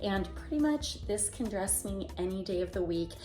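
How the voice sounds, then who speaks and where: A woman hosts a relaxed style vlog from her home, her voice raspy and hoarse from a week-long cold. She talks in a chatty, upbeat way straight to her viewers, at a mid pitch, with faint music playing underneath. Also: moderate pace. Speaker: style vlogger and show host. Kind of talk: casual friendly wardrobe vlog.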